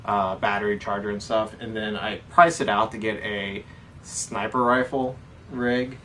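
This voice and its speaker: A man's voice: lowish voice, fairly even intonation, a little slow, bright, forceful tone. A man co-hosting a casual hobby chat, explaining things in an informal way. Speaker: co-host of a hobby show. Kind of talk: casual question-and-answer chat about airsoft. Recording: distant mic with faint background noise.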